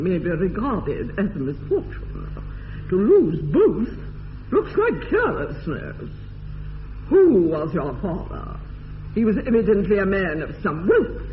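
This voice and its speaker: An actress playing an imperious older English aristocrat in a radio comedy, with an upper-class English accent and pompous, deliberate enunciation. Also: female voice, mid-range voice. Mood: pointed scrutiny. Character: haughty, domineering older aristocratic English lady. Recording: dull lo-fi radio recording, faint noise.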